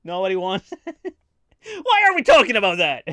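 Casual male streamer, slightly high voice, dry close mic, giggles a lot while rambling. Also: huge pitch swings, normal speed, fairly bright tone, no room sound. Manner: giggly, rambling, crude jokes, frequent laughter. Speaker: streamer reacting to anime trailers. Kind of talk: casual live-stream reaction chat.

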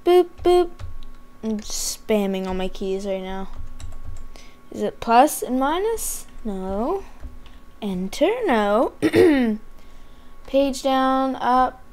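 Slow, high-voiced woman rambling over gameplay. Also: sing-song, a little mellow. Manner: rambling, thinking aloud, talking to herself. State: losing her voice, often clearing throat. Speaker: gamer hosting a gameplay video. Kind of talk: casual gameplay commentary. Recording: background hiss, keyboard and mouse clicks.

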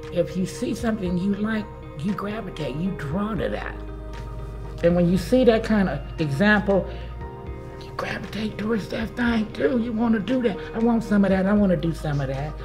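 An older American woman giving emphatic, plain-spoken personal testimony as a church volunteer. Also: medium pitch. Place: indoor church sanctuary, seated interview. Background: music.

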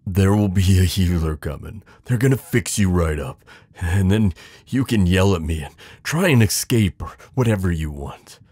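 Guy playing a protective knight in a romance asmr roleplay, low husky voice, urgent n pleading. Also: no echo, clean studio sound, exaggerated voice. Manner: urgent, pleading, hushed reassurance. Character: devoted protective male knight, desperate, tender lover. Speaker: audio roleplay performer.

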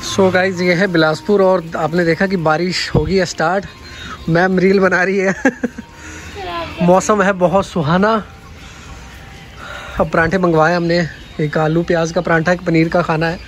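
A man's voice: high-pitched, little pitch movement, normal speed. A young man, a travel vlogger, chatting casually to viewers while on a road trip, filmed on a phone camera inside a car. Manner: chatty, addresses viewers directly. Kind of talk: casual travel vlog narration.

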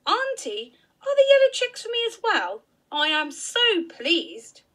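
A woman doing an eager little boy, high and excited. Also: measured pace, full character voice. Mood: delighted. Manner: excited, breathless exclamations.

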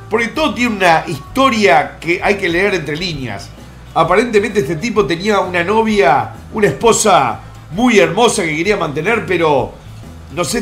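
A man's voice: high voice, a bit bright. A middle-aged man, hosting a live stream from home and rambling through a countdown with vulgar jokes and asides. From born in the United States. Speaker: streamer host. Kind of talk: crude comic countdown commentary. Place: home room in Miami.

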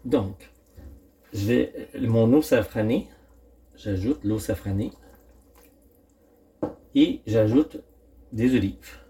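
A middle-aged man, hosting a casual cooking demonstration at home and thinking aloud with frequent pauses. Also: on the low side, dark tone. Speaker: home cooking channel host. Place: home kitchen, indoors.

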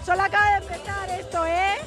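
A woman's voice: very high voice, flat delivery, fast. A woman in her twenties from southern Spain, chatting casually in a street interview. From Marbella, southern Spain. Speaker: festival-goer being interviewed. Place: outdoors at a night street festival. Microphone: handheld reporter microphone. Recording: loud festival music and crowd behind.

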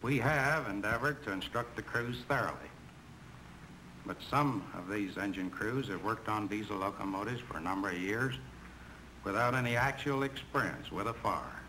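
A man's voice: fairly low pitch, normal speed, bright, forceful tone. A man playing a sober American railroad instructor in formal, scripted 1950s training film dialogue. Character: sober railroad instructor explaining crew shortcomings. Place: conference room. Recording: noisy 1950s film soundtrack.

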